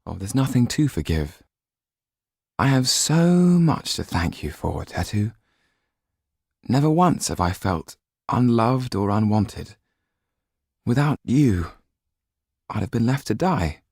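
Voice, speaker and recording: A man voicing an earnest, grateful young gentleman in an audiobook, speaking in a gentle, heartfelt way. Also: lowish voice, animated, takes it slowly, creaky, long pauses, slightly rough, no echo, clean studio sound, pitched above the performer's own voice, full character voice. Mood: grateful, tender. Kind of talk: audiobook character acting.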